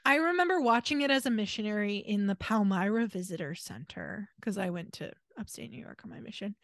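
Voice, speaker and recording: A woman's voice: medium pitch, lively intonation, brisk, creaky, fairly bright tone, no room sound, studio quality. An American woman co-hosting a casual podcast, telling stories in an irreverent, exasperated way with emphatic asides. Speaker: podcast co-host.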